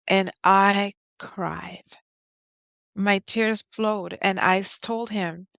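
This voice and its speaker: A woman's voice: mid-range voice, no room sound. A woman in her fifties from Guyana, earnestly telling her life story as an interview guest in short, heartfelt phrases. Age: 50s.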